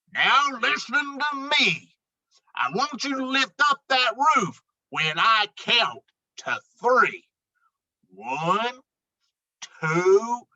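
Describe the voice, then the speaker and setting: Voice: mid-range voice, sharp tone, muffled recording, voice pushed up, full character voice. A woman voicing a bossy, easily panicked male moose foreman in a children's storybook, with dramatic, commanding exclamations.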